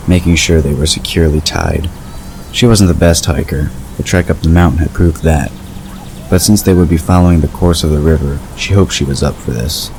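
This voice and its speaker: A man narrating a scripted story in a measured storytelling delivery. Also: very deep voice, darkish tone, poor audio. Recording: clear background ambience noise.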